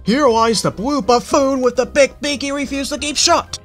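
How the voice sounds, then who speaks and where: A man's voice: extremely high pitch, normal speed, soft background music, pitched above the performer's own voice. A man voicing a smug, defiant young male earth pony in a fan story reading.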